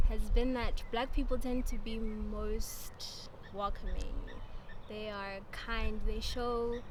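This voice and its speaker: A woman's voice: fairly high pitch, noisy background. A woman in her twenties from South Africa, speaking in a documentary interview, thoughtful and frank, laughing at herself now and then. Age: 20s.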